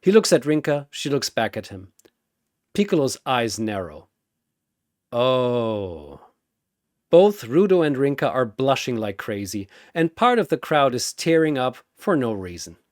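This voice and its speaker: A man's voice: medium pitch, very animated, moderate pace, darkish tone, no room sound, studio quality. A man delivering dramatic scripted story narration in theatrical, suspenseful short phrases.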